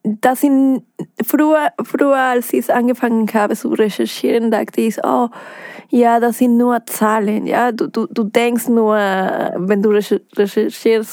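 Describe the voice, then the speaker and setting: Woman, Mexican Spanish accent, slow and searching, fillers and restarts, dry close studio sound. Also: a little high, fairly even intonation, darkish tone, dry recording. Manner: hesitant, many fillers and restarts, earnest. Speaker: documentary filmmaker, studio guest. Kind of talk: radio interview, answering in a learner's German. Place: radio studio.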